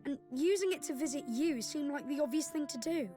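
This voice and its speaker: A high, youthful boy prince played by a woman, gentle and wise beyond his years. He explains things with measured earnestness, as in a game cutscene, with orchestral music playing behind him. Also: close to an everyday voice. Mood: earnest, calm. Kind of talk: video game cutscene acting.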